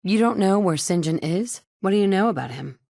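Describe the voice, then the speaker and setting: A woman's voice: mid-range voice, animated, a little fast, gravelly, a little mellow, dry recording. A woman voicing a player character in a video game, delivering short, dry, sardonic lines as a tough, wisecracking adventurer. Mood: cool.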